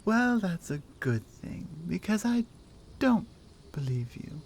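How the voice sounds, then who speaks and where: A woman's voice: fairly low pitch, sing-song, darkish tone, slightly rough, heavily acted. A woman playing a strong, teasing Nordic warrior in an ASMR roleplay, with a Nordic accent and a dry, playful, skeptical manner. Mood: amused, skeptical. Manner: playful, dry, conversational with pauses. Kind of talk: ASMR roleplay audio drama.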